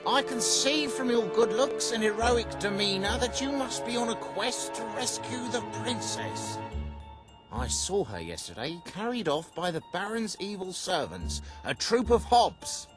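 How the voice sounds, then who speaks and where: An actor playing a stock fantasy game character in a stilted, declamatory way for a video game. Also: male voice, sits high, very animated, measured pace. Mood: stilted, earnest. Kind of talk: video game cutscene acting. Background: music.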